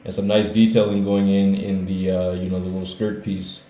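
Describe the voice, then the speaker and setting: A man getting over a cold, talking through a toy figure review in a relaxed, rambling way with lots of fillers. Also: deep voice, moderate pace, roomy sound. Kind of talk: casual toy review vlog. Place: his room with hardwood floor.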